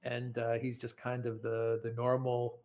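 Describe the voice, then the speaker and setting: A man of middle pitch chats loosely as a guest on a live stream. He repeats his words as he goes and laughs often between thoughts. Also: completely flat delivery, measured pace, a little mellow. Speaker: guest commentator. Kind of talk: casual live-stream chat.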